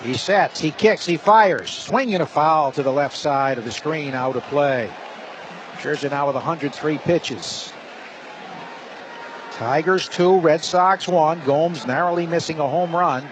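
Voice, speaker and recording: A man with a fairly high voice calls a baseball game live for radio. He speaks in short, descriptive play-by-play phrases, with clear ballpark noise behind him. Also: measured pace. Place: ballpark broadcast booth. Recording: noisy live stadium broadcast. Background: clear stadium ambience.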